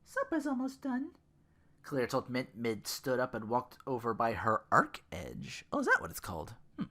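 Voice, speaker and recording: Podcast host reading fanfic out loud, super animated, bright voice, keeps interrupting themself. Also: medium pitch, normal speed. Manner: theatrical, self-interrupting. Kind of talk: animated reading of fan fiction with asides.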